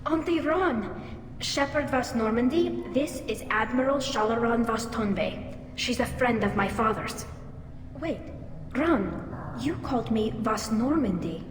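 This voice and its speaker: A woman's voice: on the high side, lively intonation, measured pace, slight background noise. A woman playing a proud, earnest young female alien engineer in a video game. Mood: subdued surprise. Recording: echoey hall, music underneath.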